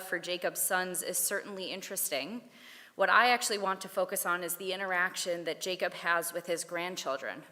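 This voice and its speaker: Bright-voiced woman giving a sermon. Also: lowish voice. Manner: measured, deliberate delivery. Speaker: speaker giving a Torah talk. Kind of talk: prepared sermon read aloud. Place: synagogue sanctuary. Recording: long hall reverb.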